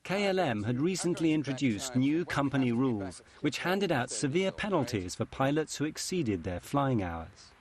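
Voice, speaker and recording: A man narrating a documentary in a measured, grave scripted style. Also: mid-range voice, very animated, a little hiss or hum.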